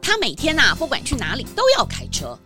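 A woman narrating a scripted children's story in an expressive storytelling style. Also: high-pitched, brisk, music in the background.

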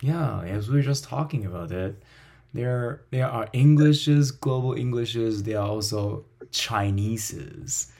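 A man from Taiwan who teaches language, hosting a casual conversation and speaking haltingly, often restarting and repeating words. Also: mid-range voice, dark tone.

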